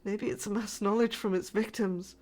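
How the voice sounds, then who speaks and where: A woman playing a frightened spaceship crew survivor sending a distress message in a scripted sci-fi horror audio drama, formal but shaken and halting. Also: mid-range voice, fairly even intonation, darkish tone, natural voice. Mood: shaken, dread. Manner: formal reporting that breaks into shaken, halting, emotional delivery.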